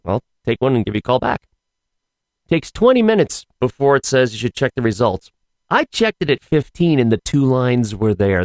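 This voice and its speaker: A man hosting a casual solo podcast, hoarse, rambling with wry asides. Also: mid-range voice, no echo. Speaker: podcast host.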